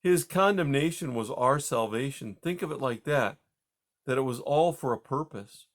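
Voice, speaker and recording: A man voicing a calm husband who soothes his wife in a measured, firm, somewhat patronizing way. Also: mid-range voice. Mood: soothing.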